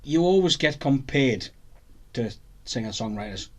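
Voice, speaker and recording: Husky-voiced man hosting a podcast. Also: medium pitch, expressive, a little slow. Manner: enthusiastic, rambling with restarts. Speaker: podcast host. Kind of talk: casual interview chat. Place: podcast studio.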